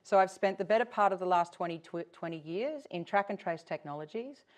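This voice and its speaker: A woman's voice: fairly low pitch, fairly quick. A middle-aged woman with an Australian accent giving a conference presentation as an invited company executive.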